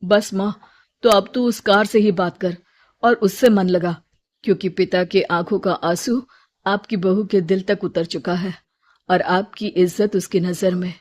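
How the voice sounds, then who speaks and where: A woman's voice: medium pitch, steady tone, measured pace, darkish tone, natural voice. Cartoon adult son voiced by a woman: frank, earnest, reproachful delivery. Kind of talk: acted cartoon story dialogue.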